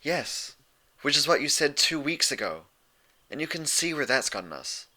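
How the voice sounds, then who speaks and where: A man's voice: a little high, big pitch swings, moderate pace, bright, forceful tone, pitched above the performer's own voice, full character voice. A man voicing an earnest, exasperated young female unicorn pony in a storybook reading, insistent and pleading. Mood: urgent.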